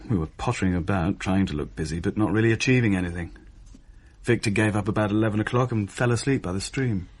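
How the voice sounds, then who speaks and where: A man's voice: lowish voice, fast talker, natural voice. An actor playing a wry young English fiancé, telling his story conversationally in a radio play. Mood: wry, chatty. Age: young adult. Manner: wry, matter-of-fact storytelling. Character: wry young fiancé recounting a chaotic haunted night.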